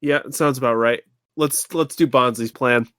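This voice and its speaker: Male gamer, average pitch, casual table talk, very dry recording. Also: moderate pace, fairly bright tone, dry recording. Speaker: tabletop game player. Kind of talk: casual chat in game.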